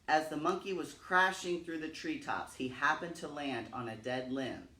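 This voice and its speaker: A man reading a children's story aloud in an expressive, dramatic way, repeating phrases for emphasis. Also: fairly high pitch, moderate pace. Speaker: storytime reader. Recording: roomy, echoing, distant microphone.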